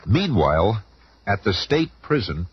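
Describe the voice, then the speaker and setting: A man's voice: fairly low pitch, sing-song, a little slow, slightly rough, no room sound, exaggerated voice. A man narrating a comic-book radio drama in a grandiose, suspenseful style. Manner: bombastic, suspenseful. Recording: dull, noisy old radio recording.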